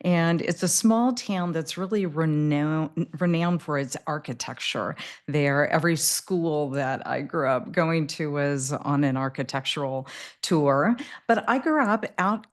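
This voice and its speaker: A woman's voice: low voice, unhurried. A middle-aged woman from the American Midwest, answering interview questions in a thoughtful way, with many fillers and repeated words. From Midwest US, Indiana. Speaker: podcast guest.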